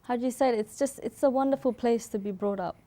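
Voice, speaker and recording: A woman's voice: on the high side, quick pace, mellow. Informal woman from Sri Lanka, being interviewed. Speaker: interview guest, artist and photographer. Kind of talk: casual interview answers.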